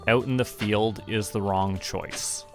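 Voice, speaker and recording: A man's voice: lowish voice, steady tone, slow pace. A man giving casual livestream game commentary, musing to himself in short remarks. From Canada. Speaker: VTuber streamer. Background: background music.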